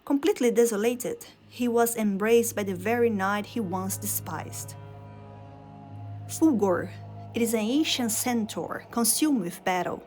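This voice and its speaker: A woman whose native language is Portuguese, narrating game lore in a measured, scripted storytelling style, phrase by phrase. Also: on the high side, darkish tone.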